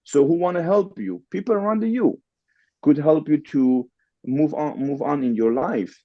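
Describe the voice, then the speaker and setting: A man's voice: fairly high pitch, a little slow, no echo, highs cut off. A man from the Middle East whose first language is Farsi, speaking English with a Persian accent as a podcast guest, repeating phrases for emphasis. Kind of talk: casual interview storytelling.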